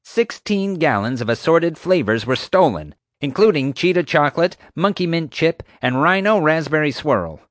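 A man reading an audiobook, voicing a loud, bossy adult woman security guard who barks and growls her commands. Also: a little high, animated, normal speed, few pauses, dry recording, studio quality, voice pushed up, natural voice. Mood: angry, bossy.

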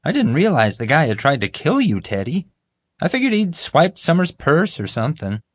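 A man voicing a bumbling, proud security guard in an audiobook, boastful, oblivious and excitable. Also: a little high, moderate pace, no echo, pitched above the performer's own voice. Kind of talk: audiobook character voice.

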